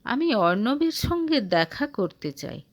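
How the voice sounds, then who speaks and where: A woman's voice: a little high, sing-song, measured pace. A woman voicing an elderly, plain-spoken male visitor with a firm, insistent manner in an audio story.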